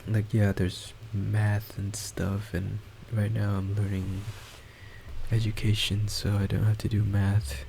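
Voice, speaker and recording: Quiet, hesitant young man rambling slowly. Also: fairly low pitch, completely flat delivery, creaky, slight background noise. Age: young adult. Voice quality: quiet soft voice. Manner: hesitant, halting, many restarts and fillers. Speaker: college student. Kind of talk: rambling personal monologue. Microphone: desktop microphone with boosted gain.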